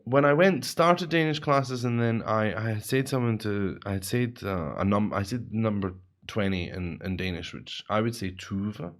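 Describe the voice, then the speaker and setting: A man's voice: lowish voice, normal speed. A man with a Scottish accent, co-hosting a podcast in casual chat, rambling and correcting himself as he goes. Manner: rambling, self-correcting, chatty. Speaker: podcast co-host.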